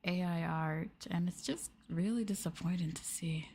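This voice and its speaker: A woman streamer chatting softly in an ASMR livestream style, gentle and hesitant, sometimes drifting into a whisper. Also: fairly low pitch, moderate pace, slight background noise. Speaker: streamer, VTuber host.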